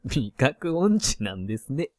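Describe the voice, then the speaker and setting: An actor playing an exasperated, wisecracking contestant in a radio drama comedy, full of sarcastic retorts. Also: male voice, mid-range voice, sing-song, measured pace, a little mellow. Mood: exasperated teasing.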